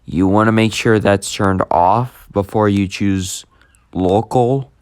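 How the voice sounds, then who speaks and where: A man giving a casual, unscripted app tutorial, speaking plainly and haltingly as he hosts the demonstration. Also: on the low side, fairly even intonation, clean tone, no echo, clean studio sound. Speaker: YouTube host demonstrating an app.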